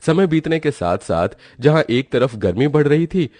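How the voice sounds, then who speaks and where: A man's voice: on the high side, sing-song, moderate pace, darkish tone, no echo. A man reading scripted storybook narration as the narrator of a tale.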